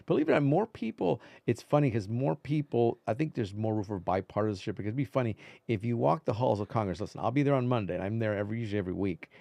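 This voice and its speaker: A man's voice: on the low side, lively intonation, fast, gravelly, hardly any pauses, warm tone, no echo. A man speaking as a veteran reporter and interview guest, in casual conversational talk full of repetition and asides.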